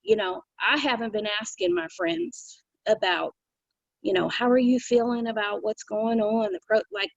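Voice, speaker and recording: A woman's voice: fairly high pitch, moderate pace, gravelly, dry recording. Earnest woman on a dull video call. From United States. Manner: earnest, thoughtful, many restarts and "you know". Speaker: community call participant. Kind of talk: casual reflective talk on a video call.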